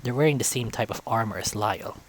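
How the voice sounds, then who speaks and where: A man's voice: mid-range voice, quick pace, slight background noise. A man reading visual novel narration aloud in a storytelling style. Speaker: visual novel narrator, let's-play reader.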